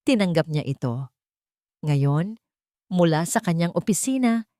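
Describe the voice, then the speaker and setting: Woman doing dramatic story narration, kinda low and soft-toned, super dry recording. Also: no echo.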